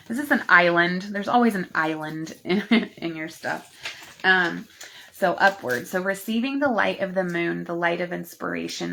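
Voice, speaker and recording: A woman's voice: medium pitch, vocal fry, pushed and bright, a little hiss or hum. A woman coach hosting a live stream, chatting to viewers with many fillers and reading oracle cards aloud. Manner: chatty, rambling, filler-heavy, affectionate. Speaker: spiritual mindset coach.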